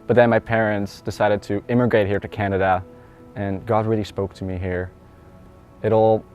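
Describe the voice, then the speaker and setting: Dutch-accented teenage boy, flat low voice. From Netherlands. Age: teens. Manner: earnest, conversational with fillers. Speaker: student. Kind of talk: personal testimony.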